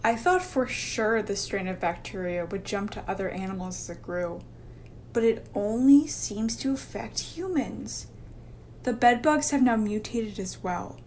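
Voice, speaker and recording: A woman's voice: medium pitch, unhurried, a little mellow, a little hiss or hum, lightly acted. A woman playing a determined, serious infectious-disease doctor in a radio drama. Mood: grave, explaining. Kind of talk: amateur radio drama dialogue.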